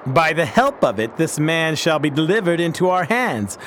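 A man's voice: fairly high pitch, big pitch swings, normal speed, a bit bright, a little hiss or hum. A man playing an earnest, pious reformed pirate captain in a radio drama, speaking bluntly in formal old-fashioned language. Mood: determined.

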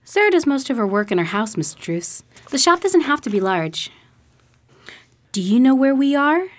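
Radio ingenue, woman actor: awestruck, pleading, fairly high voice, lively swooping intonation. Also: moderate pace. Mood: awed, secretive. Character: eager, awestruck young lady enthralled by her friend. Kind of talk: radio drama acting.

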